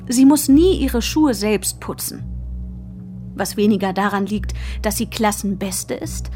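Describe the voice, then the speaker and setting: A woman's voice: medium pitch, darkish tone. An actress narrating a literary radio drama, portraying a proud, bookish small-town girl.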